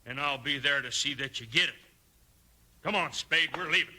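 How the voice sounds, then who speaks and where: A man's voice: a little high, normal speed, sharp tone. An actor playing a menacing claim-jumping gunman in a 1950s radio Western, hard and threatening, with an American Western accent. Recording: crackly 1950s radio recording.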